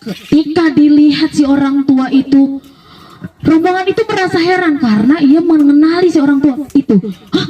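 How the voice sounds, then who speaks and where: A woman telling a religious story live, expressive and exclamatory, speaking directly to her listeners. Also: high voice, moderate pace. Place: evacuation post, live storytelling. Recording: dull, distant microphone pickup.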